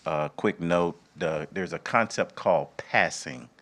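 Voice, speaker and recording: Very deep-voiced man hosting. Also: measured pace, a bit bright. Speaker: event host. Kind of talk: informal remarks to an audience.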